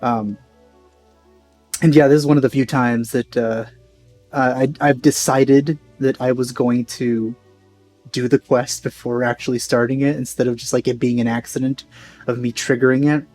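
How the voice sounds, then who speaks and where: A man with a medium-pitched voice chats casually over gameplay as a let's play host. He is offhand and rambles hesitantly, with faint music underneath. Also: level tone, moderate pace, a little mellow. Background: faint game music.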